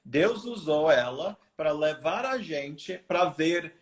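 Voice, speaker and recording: A man's voice: fairly high pitch, animated, slow. American man telling missionary stories in Portuguese. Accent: American English. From United States. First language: English. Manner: earnest storytelling with many restarts. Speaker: missionary guest. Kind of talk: live video interview.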